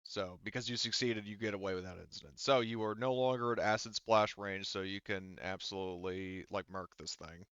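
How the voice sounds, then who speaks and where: A man's voice: sits low, fairly even intonation, brisk, hardly any pauses, a bit bright, clean tone, no echo. A man casually explaining rules and narrating as the game master of a tabletop roleplaying game. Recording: dull lo-fi microphone.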